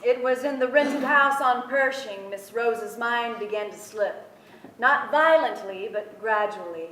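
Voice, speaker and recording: A young woman acting a gentle sister and narrator in a careful dramatic staged reading. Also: a little high, unhurried, slight background noise. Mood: grave. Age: young adult. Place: theatre stage before an audience. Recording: echoey theatre, distant microphone.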